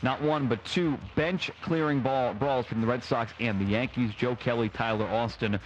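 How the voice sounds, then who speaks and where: A man's voice: medium pitch, moderate pace, dull audio. Man hosting a sports radio post-game show, background noise. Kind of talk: radio sports recap and sponsor reads. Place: ballpark broadcast. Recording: clear background noise and ambience.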